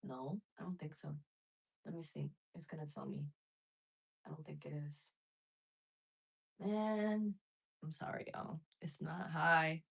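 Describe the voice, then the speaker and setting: Woman streamer, frank and casual, slightly low voice. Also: fairly even intonation, slow pace, creaky, pauses often, slightly rough, poor audio. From United States. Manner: chatty, frank, answers chat messages as she goes. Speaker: live streamer. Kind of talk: casual live chat with viewers.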